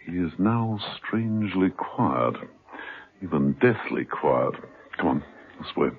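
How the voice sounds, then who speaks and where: An actor playing a suave British gentleman spy in a 1970s radio drama, dry and understated, with clipped phrasing. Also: deep voice, lively intonation, slow, breathy edge, phone-quality sound, full character voice. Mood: wry, composed. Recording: thin band-limited old radio recording.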